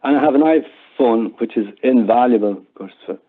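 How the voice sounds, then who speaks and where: A man's voice: medium pitch, normal speed. An older man with an Irish accent speaks in a casual, conversational way, as if reminiscing in an interview. He often repeats words as he goes. His voice comes through a muffled, narrowband line that sounds like a phone call. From Ireland. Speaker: interview guest.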